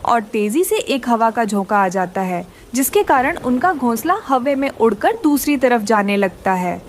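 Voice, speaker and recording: A woman's voice: a little high, sing-song, a little slow, a little hiss or hum. A woman reading scripted moral-story narration for a children's cartoon.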